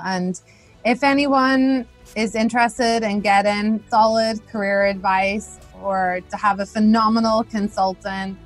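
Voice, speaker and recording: A woman's voice: medium pitch, no room sound. Northern Irish woman hosting a podcast. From Northern Ireland. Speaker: podcast host. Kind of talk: interview questions and sign-off.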